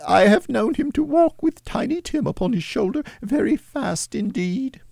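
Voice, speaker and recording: A man voicing a grieving mother who speaks softly and bravely, holding back tears. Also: very high-pitched, animated, normal speed, vocal fry, dry recording, studio quality, voice pushed up, exaggerated voice. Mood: steady. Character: grieving mother, gentle and steady. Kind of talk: audiobook character voice.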